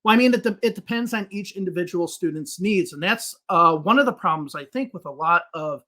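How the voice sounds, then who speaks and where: A man's voice: sits high, expressive, a little fast, pushed and bright. A man who teaches English, answering interview questions on a podcast in a thoughtful, explaining way. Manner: explanatory, thinks aloud with restarts.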